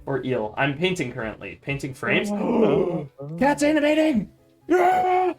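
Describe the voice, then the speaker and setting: Slow, rambling, lively male streamer. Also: sits high, music in the background. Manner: rambling, laughs a lot, swears casually. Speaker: streamer and podcast host. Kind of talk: casual chat while 3D modeling.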